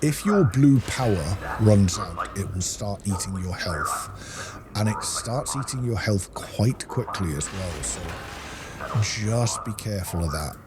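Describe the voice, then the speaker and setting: A man's voice: fairly low pitch, lively intonation, a little slow, noisy background. A man giving a casual gameplay walkthrough, explaining things in practical asides to the viewer. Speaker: gaming video host.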